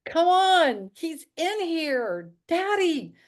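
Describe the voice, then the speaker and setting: Horror table read: desperate, defiant teen girl, played by a woman, very high voice, slow drawn-out cries. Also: big pitch swings, heavily acted. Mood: desperate, terrified. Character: terrified, defiant teenage girl screaming for her father.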